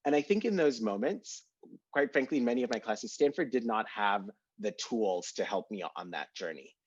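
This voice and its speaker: A man's voice: fairly low pitch, animated, moderate pace, a little mellow, husky, no room sound. A young man from Kenya speaking earnestly as an alumni panelist on a video call, with many "like" fillers. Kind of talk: candid reflective panel answer. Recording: dull dry video-call audio.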